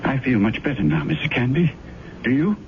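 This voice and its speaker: Actor doing pious smooth-talking guy, solemn n persuasive, very expressive, old radio play, bit dull n hissy. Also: mid-range voice, fairly quick, lightly acted. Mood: pious, fervent. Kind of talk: 1970s radio drama acting. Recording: muffled, hissy old radio broadcast recording.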